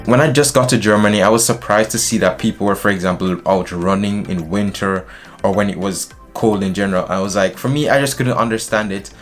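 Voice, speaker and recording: Low-voiced young man from Jamaica. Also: brisk, soft background music. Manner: chatty, animated with hand gestures. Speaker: vlogger. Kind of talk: casual vlog to camera. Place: small indoor room, decorated shelves.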